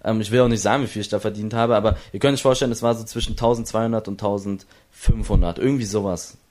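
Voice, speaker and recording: A young man from Berlin with a lowish voice tells how his esports career began. His talk rambles and restarts often, and he slips into quick impressions of the people he met. The feel is a casual, loose interview. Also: steady tone, darkish tone. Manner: rambling, many restarts, acts out others' lines. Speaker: esports player.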